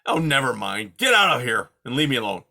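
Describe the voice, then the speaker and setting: A man's voice: mid-range voice, very animated, fairly quick, gravelly, pushed and bright, exaggerated voice. A man voicing a grumpy, scornful old male porcupine who growls and insults, in a storybook reading. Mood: scornful gruff.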